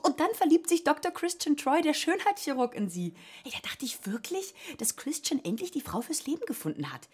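An actress playing a curious, direct, excitable woman in a hospital waiting room in a radio play. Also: sits high, a little fast, few pauses. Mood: excited. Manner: inquisitive, direct, eager. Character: curious, forthright, excitable woman chatting up a fellow patient. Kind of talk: naturalistic radio play dialogue.

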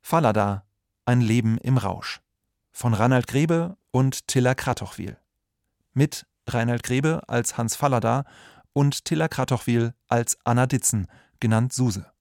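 A man's voice: mid-range voice, unhurried, darkish tone, dry recording, clean studio sound. A man making a scripted radio announcement of credits.

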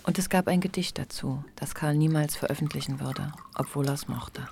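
A composed female storyteller narrates a radio drama in a deep voice. Her delivery is measured and matter-of-fact, like literary prose read aloud, over clearly audible background noise. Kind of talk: scripted literary narration in a German radio play.

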